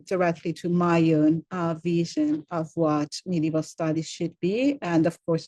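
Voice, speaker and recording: A middle-aged woman with an Italian accent speaks in a lowish voice, pausing often on "uh" as she looks for the right words. The sound is dry and close, as over a computer mic on a video call. She addresses listeners as a program director giving a welcome talk. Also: darkish tone, no room sound. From Italy. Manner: frequent "uh" fillers, searching for words.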